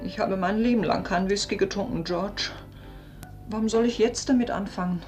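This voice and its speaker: An actress playing an anxious, guilt-ridden older wife in a radio play, speaking earnestly. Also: female voice, mid-range voice, a little fast, music in the background, natural voice. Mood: prim, hesitant.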